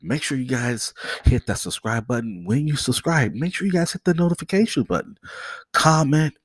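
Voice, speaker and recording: A man's voice: mid-range voice, lively intonation, moderate pace, no room sound. A man hosting a casual solo podcast, nasal and stuffed up from a cold, rambling and repeating himself as he talks straight to his viewers. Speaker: podcast host.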